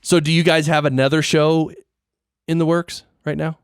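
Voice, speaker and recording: A man's voice: on the high side, little pitch movement, normal speed, clear, resonant tone, no echo, studio quality. A man hosting a podcast in casual, upbeat interview chat. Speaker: podcast host.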